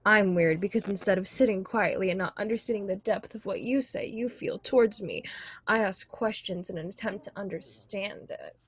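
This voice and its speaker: A woman's voice: medium pitch, moderate pace, slightly rough, no room sound. A young woman playing a skeptical, persistent girlfriend in a contemporary radio drama, dry and argumentative as she questions her partner. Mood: annoyed. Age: young adult. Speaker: student voice actor.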